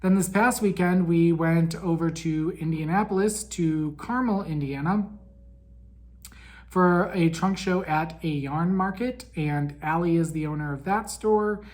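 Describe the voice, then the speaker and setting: A man hosting a casual monthly podcast recap, raspy and weak in breath from a head cold, chatty with frequent ums. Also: on the high side, measured pace, darkish tone. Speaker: podcast host.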